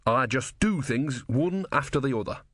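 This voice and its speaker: A man's voice: mid-range voice, lively intonation, normal speed, fairly bright tone, no room sound, studio quality, lightly acted. A man voicing an earnest young male novice priest in an audiobook story, hesitant and plain-spoken. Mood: matter-of-fact.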